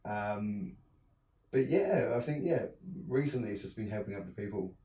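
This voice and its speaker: A man's voice: lowish voice, normal speed, reverberant, recorded from a distance, poor audio. A young English man from the south of England with a southern English accent, chatting casually in an interview with lots of ums and restarts. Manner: relaxed, many ums and restarts. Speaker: interview guest, filmmaker.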